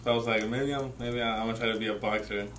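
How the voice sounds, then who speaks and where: A man's voice: medium pitch, steady tone, very fast, a bit bright. An 18-year-old man speaking casually as a young father, often filling his talk with "you know" and "like.". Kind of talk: candid personal testimony. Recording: distant mic with faint noise.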